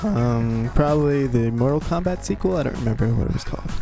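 A man's voice: medium pitch, lively intonation, fast, vocal fry. A man talking casually as a panelist on a podcast. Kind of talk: casual chat. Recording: music behind the talk. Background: music.

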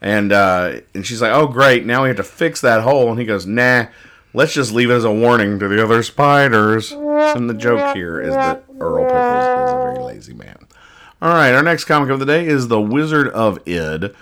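Male podcast host, mid-pitched, casual bantering delivery, amused and exasperated with a co-host. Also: sing-song, moderate pace, a bit bright, soft background music. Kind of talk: casual banter, describing comic strips.

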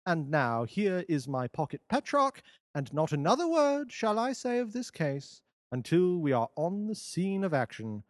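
A man reading an audiobook, voicing a calm, brilliant detective who talks in a measured, lofty, amused way. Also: high-pitched, dry recording, studio quality. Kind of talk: audiobook character voice.

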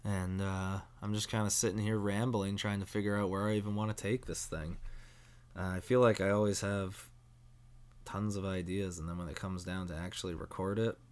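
Young man, lowish voice, mumbled words, slack jaw, rambling solo podcast host, frequent ums. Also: little pitch movement, a little mellow, clear, resonant tone. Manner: mumbled, barely moves his jaw, unhurried ramble; frequent ums and self-corrections. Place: at home with his cats.

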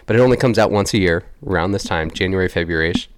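A man co-hosting a podcast in laid-back chat, his voice raspy from a lingering cough. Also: low-pitched, quick pace, no echo. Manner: laid-back, chatty, laughs easily. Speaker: podcast co-host.

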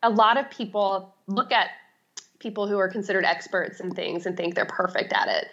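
Woman, middle-aged, bright forceful voice, chatty, self-deprecating, casual interview guest, slightly muffled lo-fi audio. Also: mid-range voice, lively intonation, normal speed. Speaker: guest, home organizing expert.

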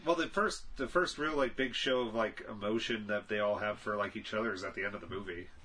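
A man's voice: lowish voice, fast, bright, forceful tone, husky. A man co-hosting a podcast, talking casually about a film. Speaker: podcast co-host.

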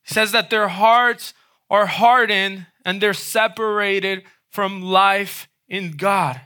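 A man preaching a sermon in a conversational way, often checking in with a quick "right?". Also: high-pitched, steady tone, bright, forceful tone. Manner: conversational, frequent "right?" tag questions.

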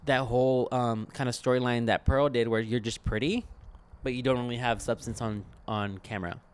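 A man's voice: mid-range voice, steady tone, brisk, smooth. A man chatting casually as a recap co-host, sassy and freely swearing, with slangy phrasing and bits of acted-out dialogue. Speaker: podcast co-host. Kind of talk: casual recap chat about a TV show.

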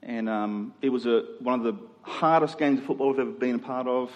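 A man's voice: medium pitch, fairly quick. An Australian man giving a sermon in an earnest, conversational way, repeating phrases for emphasis. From Queensland, Australia. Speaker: preacher. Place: large room, preaching to a congregation. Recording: echoey hall with long reverb.

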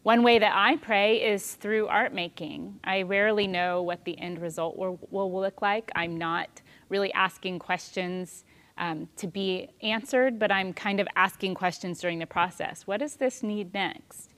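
A woman in her thirties giving a calm, thoughtful spiritual talk on prayer, with soft pauses. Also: mid-range voice.

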